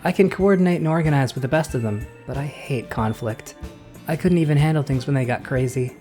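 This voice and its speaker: An actor playing a gentle, self-deprecating young male club president in a visual novel audio drama, soft-spoken and encouraging. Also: fairly high pitch, brisk, soft background music, lightly acted. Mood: quiet, rueful.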